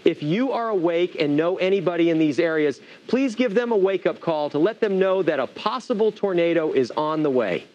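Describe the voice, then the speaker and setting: A man's voice: fairly high pitch, expressive, moderate pace, few pauses, darkish tone, muffled recording. A man working as a TV meteorologist, giving live, conversational and explanatory severe weather commentary.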